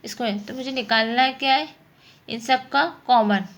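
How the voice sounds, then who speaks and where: A woman's voice: fairly high pitch, steady tone, normal speed, a bit bright. A woman teaching a mathematics lesson, explaining step by step and often answering her own questions. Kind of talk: informal spoken lesson, working through problems.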